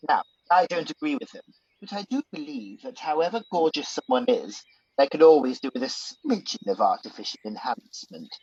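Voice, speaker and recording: An actor playing a scheming, smooth-talking villain who poses as a soothing conscience in a radio pantomime. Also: male voice, fairly high pitch, very animated, fairly quick, a little mellow, dry recording, muffled recording, heavily acted. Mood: sly, soothing. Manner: smooth-talking, persuasive, insinuating.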